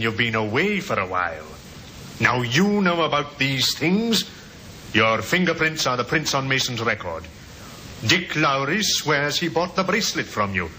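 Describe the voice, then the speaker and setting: A no-nonsense sergeant, pressing and impatient, with a bright, fairly high voice. The scene is a 1950s radio police drama on an old, slightly noisy recording. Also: male voice, heavily acted.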